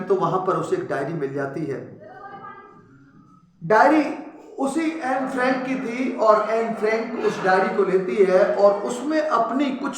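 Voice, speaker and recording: A man with a high-pitched voice teaches a class by retelling a story, animated and full of sudden emphatic rises and restarts. His voice rings in an echoey room. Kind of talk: Hindi lecture retelling a story for students.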